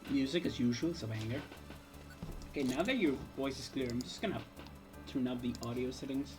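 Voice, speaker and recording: A woman chatting casually and excitably as she plays a game on a livestream. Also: very deep voice, a little fast, over music. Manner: animated, excitable. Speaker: game streamer. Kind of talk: casual livestream chat while playing.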